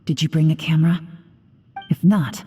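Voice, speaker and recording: A woman's voice: deep voice, fairly even intonation, moderate pace, warm tone, slightly rough. A woman voicing a cool, confident, teasing secret operative in a video game. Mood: cool, brisk.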